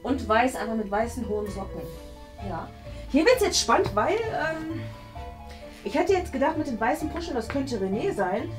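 A woman's voice: sits high, big pitch swings, normal speed. A young woman casually thinking aloud as she hosts and plays a guessing game, playful, hesitant and rambling. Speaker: host and contestant guessing in a game. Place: small indoor studio room. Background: background music.

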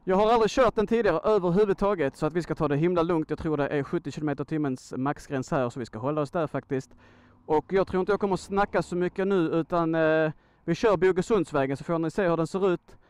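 Male vlogger from Sweden, smooth clear voice a little on the high side, informal and slangy, unscripted. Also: darkish tone, no echo. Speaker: motorcycle vlogger, host. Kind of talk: casual chatty vlog monologue. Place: outdoors on a motorcycle by a road.

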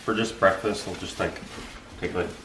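A man in his twenties with an American accent, chatting casually in a low-key, matter-of-fact way as a vlogger. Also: fairly even intonation, normal speed. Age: 20s. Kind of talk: casual grocery haul chat. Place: open kitchen and dining room at home. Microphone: camera a few feet away. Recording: echoey room, clear background noise, rustling clicks.

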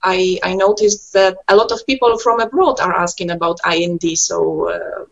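A Polish woman speaking English as a second language, a musician answering interview questions earnestly, often pausing on "uh" and adding "yes?". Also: on the low side, gravelly, lo-fi sound. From Poland. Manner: earnest, frequent "uh" and tag "yes?". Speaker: musician guest. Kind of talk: interview over a call.

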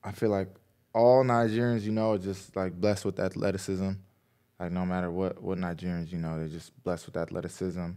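A man in his twenties from Massachusetts, a guest in a casual podcast interview, telling stories in a relaxed, laid-back way with lots of "like.". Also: low voice, a little fast. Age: 20s. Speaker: guest, pro football player. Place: small indoor podcast studio. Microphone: boom mic on arm beside him.

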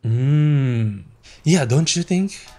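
A man's voice: mid-range voice, animated, very slow, darkish tone, faint music behind. A Japanese man with a Japanese accent giving casual, playful gameplay commentary, reacting aloud and laughing often. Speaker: gaming streamer.

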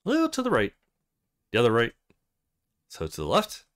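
A man's voice: mid-range voice, huge pitch swings, brisk, no echo, studio quality. A man voicing an exasperated, demanding male fashion photographer in a visual novel game.

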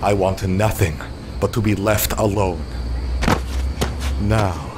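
A man's voice: low-pitched, slow pace, very noisy. A man voicing a proud, bitter young genius villain, formal, imperious and threatening, in a comic audio drama. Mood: menacing. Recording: music bed under the voice.

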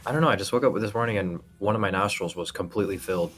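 A man's voice: fairly low pitch, very fast, fairly bright tone, faint music behind. A young man in his twenties chatting casually on a headset over a slot stream, a little nasal and congested. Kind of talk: casual chat over gameplay. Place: home streaming setup, indoors.

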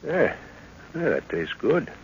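Seasoned jungle explorer, muffled vintage radio. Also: male voice, medium pitch, very animated, slow, a little hiss or hum. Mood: musing, assured. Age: middle-aged. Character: seasoned, unflappable older male jungle explorer and father. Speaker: radio drama actor. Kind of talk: old-time radio adventure acting.

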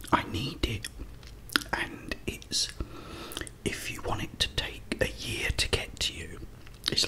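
A middle-aged man with a British accent, whispering a rambling, chatty story as an ASMR creator in a dim room, close to the mic. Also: rough recording. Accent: British English. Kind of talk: whispered ASMR chat while eating. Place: dim indoor room at a table.

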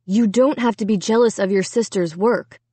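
A woman's voice: moderate pace, warm tone, breathy edge, no echo, close to an everyday voice. Woman playing a middle-aged dad, scolding, restrained, weary, storybook character, dry sound. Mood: restrained annoyance. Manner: low, restrained, stern. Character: scolding, tight-jawed middle-aged father, tiredly patient.

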